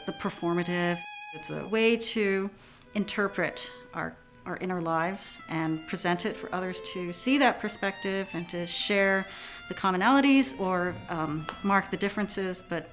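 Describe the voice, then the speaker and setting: A woman musician speaking reflectively in an interview, thoughtfully searching for her words. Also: on the low side. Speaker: orchestra musician. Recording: prominent music behind the voice. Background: string music.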